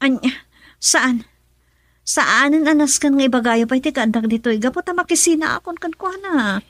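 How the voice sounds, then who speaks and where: An actress with an Ilocano accent playing a troubled young wife who answers anxiously and defensively. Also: female voice, sits high, normal speed, no echo, studio quality, natural voice. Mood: alarmed. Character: troubled young wife, resentful of her husband. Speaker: daughter. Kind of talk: radio drama acting.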